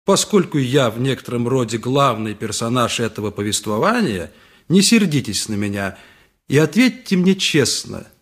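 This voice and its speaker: Poor talking donkey, husky mid-range voice, courteous and pleading, radio drama monologue. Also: male voice, medium pitch, expressive, normal speed. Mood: desperate pleading.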